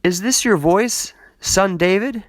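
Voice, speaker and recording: A man's voice: high-pitched, slow pace, no echo, studio quality, pitched above the performer's own voice. A man reading scripture aloud, voicing a humbled, remorseful king who speaks in a raised, lamenting way.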